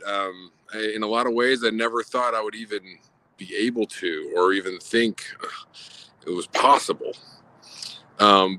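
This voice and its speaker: Bright-voiced man telling his story. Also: mid-range voice, no room sound. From Guatemala. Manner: earnest, reflective, frequent filler pauses. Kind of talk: personal storytelling. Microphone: video call.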